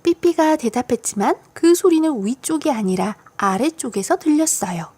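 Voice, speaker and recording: A woman's voice: high voice, expressive, moderate pace, warm tone. A woman reading a scripted children's story as an audiobook narrator.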